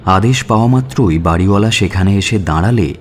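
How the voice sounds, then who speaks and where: A man narrating a scripted suspense story for radio in a measured, dramatic storytelling manner. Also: sits low, a little hiss or hum. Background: music.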